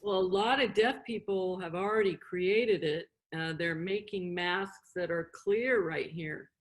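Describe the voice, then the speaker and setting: A woman's voice: fairly low pitch, a little slow. A middle-aged woman giving an informal beginner lesson, explaining in short, simple steps with repetition and questions to check in. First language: English. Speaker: sign language interpreter and instructor.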